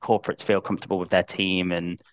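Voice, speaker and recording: A man's voice: deep voice, flat delivery, fast talker, dry recording, clean studio sound. A man with a Northern English accent, a guest on a podcast, talking in a chatty, rambling way with many restarts. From Northern England. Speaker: guest, head of sales. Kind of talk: casual podcast chat.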